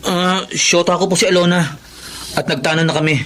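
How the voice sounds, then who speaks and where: Demanding, bullying young boyfriend. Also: male voice, high-pitched, fairly even intonation, fairly quick, pushed and bright, slight background noise. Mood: casual. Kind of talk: radio drama acting.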